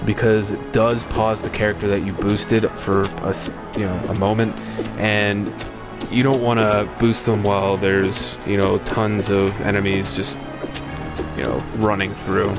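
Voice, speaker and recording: A man with a deep voice giving relaxed, rambling commentary as he plays through a game and reads its text aloud. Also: unhurried. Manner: relaxed, rambling, many fillers and restarts; reads the game's dialogue aloud in his own voice. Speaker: let's play host. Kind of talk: casual gameplay commentary. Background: game music.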